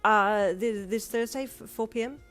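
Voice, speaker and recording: Young heroine, higher and louder, flustered, as the reader plays her. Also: normal speed, faint music behind, close to an everyday voice. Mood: nervous. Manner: expressive, easily flustered.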